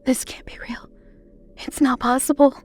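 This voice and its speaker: A woman's voice: high voice, flat delivery, normal speed, a little mellow, in a whisper. An actress playing a frightened, desperate mother searching for her child in a horror audio drama, with a trembling delivery. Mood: fearful disbelief.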